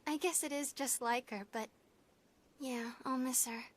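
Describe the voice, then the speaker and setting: A woman with an American accent voicing an earnest, gentle young crewmate in a video game cutscene, speaking softly and sincerely. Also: high-pitched, steady tone, measured pace. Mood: wistful, tender. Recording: music bed behind the voice.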